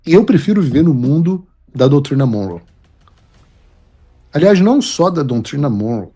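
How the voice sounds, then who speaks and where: A man's voice: a little high, big pitch swings, moderate pace, dark tone, husky. A man from Brazil giving emphatic, lecturing political commentary as host of his show. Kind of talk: opinion commentary.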